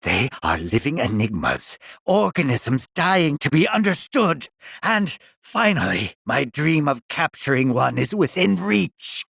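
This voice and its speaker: Theatrical, slow, raspy mad scientist villain. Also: male voice, a little high, big pitch swings, a bit bright, no room sound, studio quality, full character voice. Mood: morbid fascination. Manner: pompous, drawling, relishing menace with sly chuckles. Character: sinister, gloating middle-aged male mad scientist, obsessed with specimens. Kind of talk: theatrical video game villain acting.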